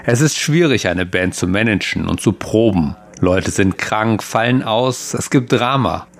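A man reading a translated interview as a calm, even voice-over. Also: lowish voice, measured pace, gravelly.

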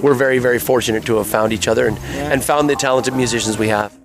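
A musician speaking in a relaxed, conversational way in an interview. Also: male voice, mid-range voice, fast talker, crowd noise. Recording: noisy location recording. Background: ambient noise.

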